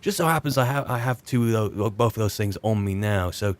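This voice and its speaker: A man with a British accent roleplaying a weary, chatty wizard's servant turned adventurer, musing in a wry, halting, rambling way. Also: lowish voice, natural voice. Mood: chatty planning. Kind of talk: in-character game roleplay monologue.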